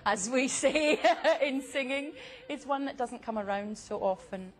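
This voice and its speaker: A woman's voice: sits high, measured pace. A woman in her thirties, an opera singer, talking casually in an interview. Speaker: opera singer, competition contestant.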